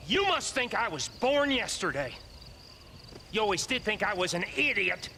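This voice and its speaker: An actor playing a mocking, hot-tempered outlaw gang boss in a Western video game, with an American accent and a taunting, drawn-out delivery. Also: male voice, sits high, big pitch swings, sharp tone, slight background noise, heavily acted. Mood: menacing. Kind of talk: dramatic video game cutscene acting.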